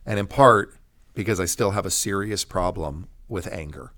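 Middle-aged American male pastor, lowish voice, emphatic preacherly phrasing, telling his own story as an interview guest. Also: moderate pace, a bit bright. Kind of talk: personal testimony in an interview.

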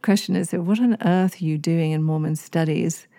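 A woman from East Africa with an English accent talks as a guest on a podcast. Her voice sits low and warm. She chooses her words with care and phrases them formally. The recording is dry and close. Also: normal speed, no room sound. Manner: thoughtful, articulate, formal phrasing. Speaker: guest author. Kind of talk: podcast interview.

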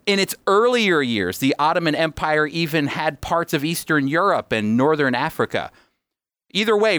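A man's voice: on the high side, a bit bright, dry recording. A man presenting an explanatory lesson, speaking emphatically and directly to his listeners. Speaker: presenter giving a history lesson. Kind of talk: explanatory teaching talk, semi-scripted.

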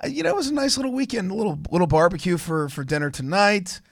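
Hoarse man hosting a radio show. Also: high-pitched, animated, quick pace, no room sound, clean studio sound. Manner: rambling, repeats words, restarts often. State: hoarse from laryngitis. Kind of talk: casual motorsport radio chat. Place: college radio studio. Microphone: studio microphone.

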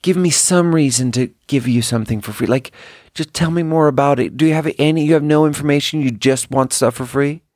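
A man from Sweden, a native Swedish speaker, telling rambling personal stories in a casual, self-deprecating, halting and wry way. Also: fairly high pitch, darkish tone, dry recording, clean studio sound. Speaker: podcast host.

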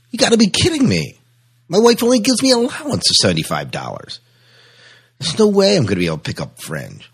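A man's voice: lowish voice, creaky, a bit bright, breathy edge, heavily acted. A man playing an exasperated penny-pinching everyman shopper in a hammy comedic ad skit, muttering to himself and getting incredulous. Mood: exasperated, indignant.

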